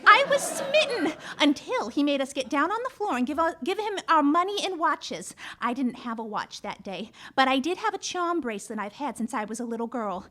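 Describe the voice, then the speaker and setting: Very high, bright, chatty secretary. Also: female voice, very animated, moderate pace, hardly any pauses. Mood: wistful, rambling. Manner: rambling, earnest over-explaining. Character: chatty, literal-minded, over-explaining female detective's secretary. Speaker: actor playing the secretary. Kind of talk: 1940s radio play comedy. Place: theatre stage before a studio audience.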